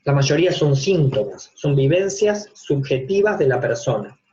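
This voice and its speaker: Higher-voiced Argentine man teaching online. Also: very animated, moderate pace, mellow. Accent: Argentine Spanish. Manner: didactic, explains step by step with everyday examples and rhetorical questions. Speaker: university psychopathology teacher. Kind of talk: informal online lecture. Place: home consulting office. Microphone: video call. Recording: dull, lo-fi video-call audio.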